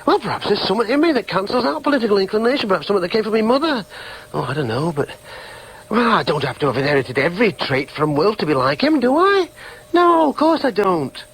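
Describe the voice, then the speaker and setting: An actor playing an anxious, self-questioning young man in a radio drama, husky, with a fretful, stubborn manner. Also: high-pitched, big pitch swings, quick pace, a bit bright, full character voice, rough recording. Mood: brooding, anxious. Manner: fretful, talks to himself in questions. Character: anxious, self-questioning grown son, stubbornly defending heredity. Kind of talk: naturalistic radio drama with interior monologue.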